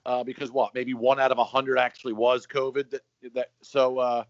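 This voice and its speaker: A middle-aged man co-hosting a podcast, telling stories in a lively, joking, casual way. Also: medium pitch, moderate pace, no room sound. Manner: animated storyteller, joking. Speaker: podcast co-host. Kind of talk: casual chat. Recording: dry, slightly dull sound.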